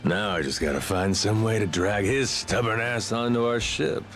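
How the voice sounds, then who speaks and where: An actor playing a brash, carefree pirate captain in a video game, talking in a casual, cocky, slangy way. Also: male voice, fairly low pitch, normal speed, a bit bright, faint music behind. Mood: wry, resolved.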